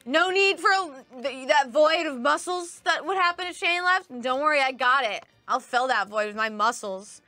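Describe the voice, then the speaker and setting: A young woman on a studio card-game stream, pitching herself in a playful, mock-boastful, giggly way. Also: lively intonation, normal speed, hardly any pauses, bright, forceful tone, no room sound, faint music behind. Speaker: stream show cast member. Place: studio set with a card table.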